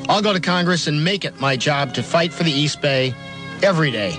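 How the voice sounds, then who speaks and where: A man's voice: on the high side, animated, a little fast. A man with an American accent reading a scripted campaign ad as the candidate. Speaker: political candidate. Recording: voice over prominent music bed. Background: music.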